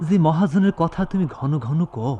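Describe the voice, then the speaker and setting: An actor playing a humble, yearning young folk mystic in a radio drama, with a rural Bangladeshi Bengali accent. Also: male voice, fairly high pitch, expressive, moderate pace, dark tone, muffled recording, close to an everyday voice. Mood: earnest. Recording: faintly noisy radio drama recording.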